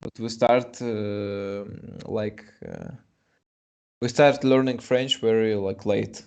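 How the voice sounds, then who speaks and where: A man's voice: on the low side, steady tone, takes it slowly, creaky, a little mellow, smooth. A young man with a Russian accent, a native Russian speaker, doing live chess commentary in English, chatty and hesitant with many fillers.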